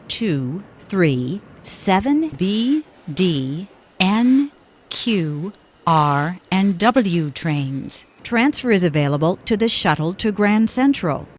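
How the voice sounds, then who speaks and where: A woman's voice: fairly low pitch, lo-fi sound. A woman reading scripted subway announcements in formal, clipped phrases that sound pieced together.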